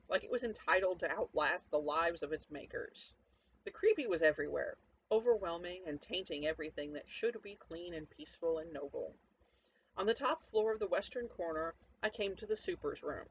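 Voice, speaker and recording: A hard-bitten, sardonic survivor of a zombie apocalypse as a story narrator plays the part tells their tale directly to the listener. The delivery is conversational, cynical and defiant, in a middling pitch. The recording is muffled and lo-fi, with faint noise behind the voice. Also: natural voice. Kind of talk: first-person dramatic story narration. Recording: dull lo-fi audio, faint background noise.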